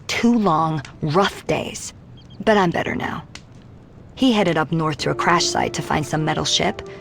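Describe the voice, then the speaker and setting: A woman's voice: low voice, measured pace, vocal fry. An actress voicing a tough, sardonic mutant woman in a video game, dry and self-assured. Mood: earnest. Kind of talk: video game character dialogue. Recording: voice under game music.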